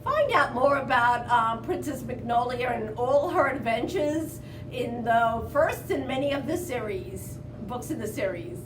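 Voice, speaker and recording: A woman, a children's librarian, giving an informal book recommendation in a halting way, pausing mid-word. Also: on the high side, lively intonation. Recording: roomy, distant mic, music behind.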